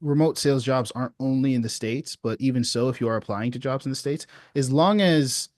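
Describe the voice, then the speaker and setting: A man's voice: mid-range voice, fairly quick, dry recording. Male sales coach, casual and encouraging, dry close-mic sound. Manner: relaxed, encouraging, says dude and man. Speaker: sales coach hosting a support call.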